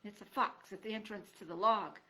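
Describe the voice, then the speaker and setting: A woman voicing a timid young female deer mouse who pleads in a high, anxious voice. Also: brisk, sharp tone, close to an everyday voice, low-quality recording. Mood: timid pleading.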